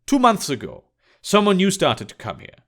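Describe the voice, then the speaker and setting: A man's voice: high voice, huge pitch swings, normal speed, a bit bright, pitched above the performer's own voice, heavily acted. A man voicing a brash, irritated woman sex worker in an audiobook, blunt and dismissive in manner. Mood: blunt, matter-of-fact. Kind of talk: audiobook character dialogue.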